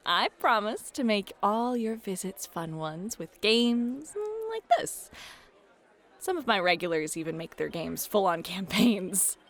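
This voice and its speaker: A woman playing a playful, flirty club hostess and game master in an ASMR roleplay, bubbly, teasing and expressive. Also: mid-range voice, a little slow. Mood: warm, flirty. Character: playful, flirty club hostess running tabletop adventure games. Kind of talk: scripted ASMR roleplay, acted. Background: background music.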